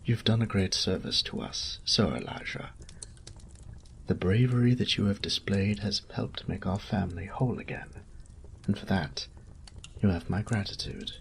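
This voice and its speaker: A man's voice: deep voice, normal speed, gravelly, slight background noise. A man voicing a courteous noble knight captain in a fantasy audio roleplay, speaking in formal, polished phrases and politely probing. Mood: grateful, formal.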